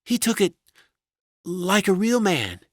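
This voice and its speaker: A man's voice: very animated, takes it slowly, dry recording, studio quality, exaggerated voice. A man voicing a meek, anxious, long-suffering wife in an audiobook story, speaking in a plaintive, fretful way. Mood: meek, subdued. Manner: plaintive, fretful, higher-voiced.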